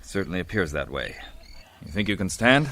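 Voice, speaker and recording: Calm heroic leader in a radio adventure, low measured voice but lively inflection. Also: male voice, noisy background. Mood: composed, watchful. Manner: measured, deliberate, precise. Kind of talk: radio adventure serial acting.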